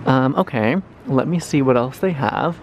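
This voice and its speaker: A young man talks straight into a camera he holds at arm's length, chatty and excitable, gushing over every find. His voice sits at an everyday pitch, and clear background noise from the car and the busy store is always there behind him. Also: highs cut off. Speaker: vlogger. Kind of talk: casual vlog chat to camera. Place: car, showroom and store, indoors. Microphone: handheld camera at arm's length.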